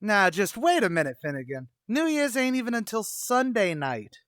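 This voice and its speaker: A man's voice: sits high, very animated, moderate pace, a bit bright, no echo, clean studio sound. A man playing a slangy, blustery, scheming New tavern manager in an old-time radio comedy, mangling his grammar as he wisecracks. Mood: brisk.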